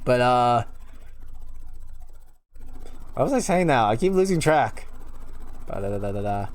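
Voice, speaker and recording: A man's voice: medium pitch, very animated, brisk. A young man from New York chatting casually and rambling on a live stream. He often loses his train of thought, chuckles a lot, and has a slight unusual lilt left from a childhood speech impairment. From United States (New York). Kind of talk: casual rambling chat to viewers.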